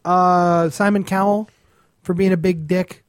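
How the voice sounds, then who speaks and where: A man's voice: high voice, fairly even intonation, a little slow, dry recording, studio quality. A man co-hosting a podcast, trading crude, casual comedic banter in a blunt, mocking way. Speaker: podcast co-host.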